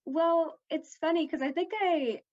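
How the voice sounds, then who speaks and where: A woman's voice: very high voice, a little slow, darkish tone, lo-fi sound. A fourteen-year-old girl chatting casually as an interviewed young actress, her talk peppered with "like".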